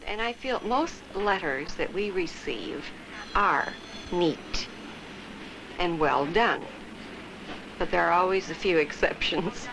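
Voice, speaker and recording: A woman's voice: fairly low pitch, expressive, slow pace, vocal fry, pauses often, noisy background. A woman, an office worker, giving her views in a documentary interview on old film sound.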